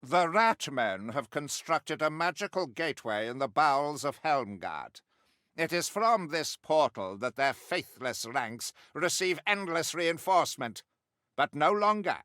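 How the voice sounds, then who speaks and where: An actor playing a zealous, righteous witch hunter in a video game, pompous and grim, with theatrical, sermonizing delivery and deliberate diction. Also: fairly high pitch, lively intonation, bright, forceful tone, dry recording, studio quality. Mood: haughty, resolute. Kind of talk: scripted dramatic video game mission briefing.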